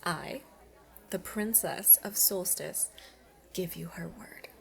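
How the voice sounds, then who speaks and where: Woman acting a playful young space princess, creaky edge. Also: lowish voice, takes it slowly, whispered. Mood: delighted. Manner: animated, coaxing, chatty, addressing the listener directly. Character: playful, pleading young alien space princess, college student. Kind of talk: ASMR roleplay acting.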